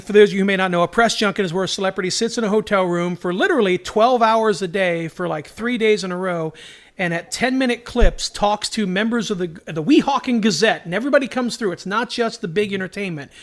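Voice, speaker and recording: Male podcast co-host, high-pitched voice, enthusiastic fan chat, slangy and self-deprecating. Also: quick pace, fairly bright tone. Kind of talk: casual chat about superhero shows.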